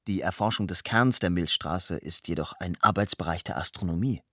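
Male narrator, low register, measured literary delivery, very dry recorded sound. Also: a bit bright, no room sound. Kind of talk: literary narration, quoting and storytelling.

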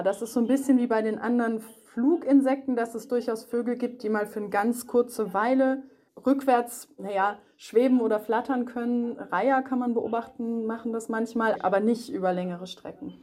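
A woman's voice: a little high, mellow. A woman animal researcher explaining things simply to children as an expert guest. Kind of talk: explanatory interview answers for children.

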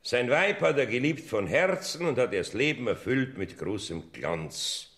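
An actor playing a slow, amused elderly saint in a radio play, with a Bavarian accent. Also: male voice, medium pitch, expressive, fairly bright tone, natural voice. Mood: calm.

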